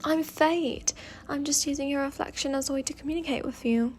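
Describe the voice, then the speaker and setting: A woman's voice: high voice, steady tone, brisk, a little mellow, a little hiss or hum, natural voice. A woman voicing a playful, pleading spirit in a mirror, with a British accent and a theatrical, whiny manner, in a story reading. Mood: insistent.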